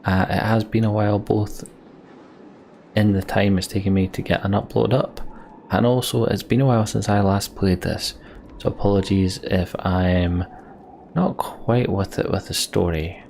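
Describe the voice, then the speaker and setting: A man's voice: lowish voice, steady tone, normal speed, creaky, a little mellow, slight background noise. A man getting over a cold, doing casual let's play commentary and reading game narration aloud.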